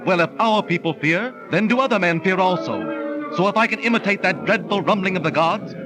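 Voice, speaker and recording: An actor in a 1930s radio drama playing a zealous young Tartar chieftain's son, declaiming in stately archaic diction. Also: male voice, high voice, fairly quick, music in the background, lightly acted. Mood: excited, earnest. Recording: noisy old 1930s radio transcription recording.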